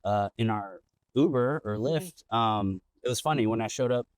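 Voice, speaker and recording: A man hosting a casual podcast interview, relaxed and rambling, with lots of fillers and restarts. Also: mid-range voice, moderate pace, no room sound. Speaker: podcast host. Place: outdoors in an old town district.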